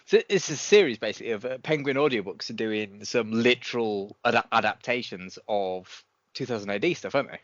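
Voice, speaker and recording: A man's voice: on the low side, expressive, fairly quick, no room sound, dull audio. A man co-hosting a podcast review, chatting in an informal, lightly joking manner. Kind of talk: casual chat podcast review.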